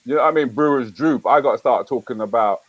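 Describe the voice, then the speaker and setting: A man in his fifties hosting a chat show, talking in a relaxed, conversational way and often asking "you know what I mean?". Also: mid-range voice, quick pace, no echo, rough recording. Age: 50s. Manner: relaxed, conversational, rhetorical questions. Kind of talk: casual chat.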